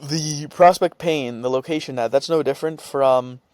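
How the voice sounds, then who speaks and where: Man giving an informal, halting software tutorial. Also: mid-range voice, no room sound. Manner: halting, thinking aloud while typing and reading out script. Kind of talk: informal screen-share tutorial.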